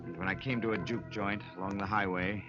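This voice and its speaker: A man's voice: fairly low pitch, steady tone, a little fast, close to an everyday voice. An actor playing a nervous, guilty murderer telling his story in a radio drama, terse and hardboiled, with an American accent. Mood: grim narration. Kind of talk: 1940s radio acting, dramatic narration. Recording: muffled old radio, loud music behind.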